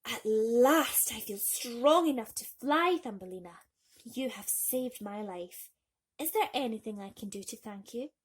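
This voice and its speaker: A woman voicing a gentle, grateful male swallow in a storybook, speaking tenderly and slowly. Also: a little high, animated, breathy edge.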